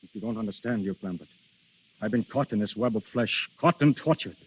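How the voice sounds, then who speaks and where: An actor playing Death in princely human guise, grandiose, yearning and imperious, in a 1930s radio drama. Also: male voice, on the low side, fairly quick, slightly rough. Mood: anguished, imperious. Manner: theatrical, imperious, brooding. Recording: muffled 1930s radio recording.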